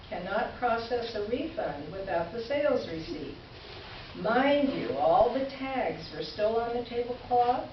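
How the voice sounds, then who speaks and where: A woman playing an indignant, exasperated writer reading her sarcastic complaint letter aloud in staged reader's theater. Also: on the high side. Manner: pointed, exasperated, dryly sarcastic. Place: indoor stage presentation before an audience. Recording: echoey hall from far off, dull, faint hiss.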